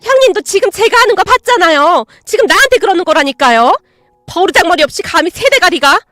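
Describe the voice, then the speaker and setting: A woman voicing a rude, whiny aunt by marriage who talks in a shrill, indignant tone. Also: very animated, fairly quick, pushed and bright, no room sound, soft background music, exaggerated voice.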